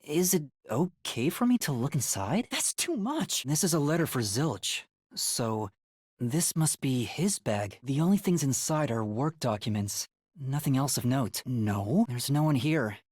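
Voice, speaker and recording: A man voicing a timid, earnest young male trainee detective in a video game, with a light, soft, boyish voice and a hesitant manner that stammers when flustered. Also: medium pitch, sing-song, unhurried, breathy edge, no echo, clean studio sound. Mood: curious, focused. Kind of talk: video game voice acting, dramatic lines.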